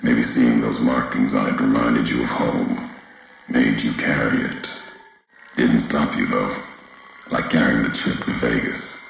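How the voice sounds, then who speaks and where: An actor playing a brooding, vengeful wasteland courier in a video game, speaking gravely in slow, cryptic, clipped fragments with a gravelly, very deep voice. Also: male voice, steady tone, full character voice. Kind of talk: video game character dialogue. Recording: heavily echoing, faint background noise.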